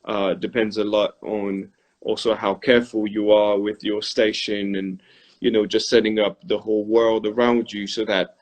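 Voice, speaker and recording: A man's voice: lowish voice, level tone, moderate pace. A middle-aged man hosting a casual interview, speaking thoughtfully and rephrasing as he goes. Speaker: interviewer, host.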